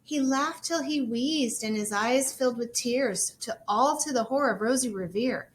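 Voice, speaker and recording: A woman teacher reading a children's storybook aloud expressively, in measured, sing-song rhyme. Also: a little high, a little hiss or hum, poor audio.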